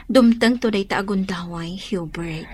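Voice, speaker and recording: An actress playing an affectionate, gently reluctant young female student in a radio drama. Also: medium pitch, slow pace. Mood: tender, reluctant. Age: young adult. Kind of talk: Ilocano radio drama acting.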